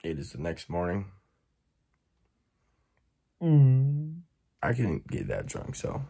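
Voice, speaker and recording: A young man vlogging casually from his bedroom, hoarse after a night out, talking in a laid-back, slangy, rambling way. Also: low voice, huge pitch swings, slow, heavy background noise. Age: young adult. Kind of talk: casual vlog talk to camera. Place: bedroom, indoors. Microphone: phone held at arm's length.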